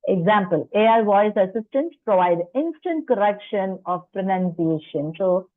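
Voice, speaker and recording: A woman's voice: mid-range voice, expressive, normal speed, no room sound. Woman lecturing over a tinny line. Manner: chatty, explains with personal asides and rhetorical questions. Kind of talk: presenting to a faculty workshop. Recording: dry tinny phone-like line.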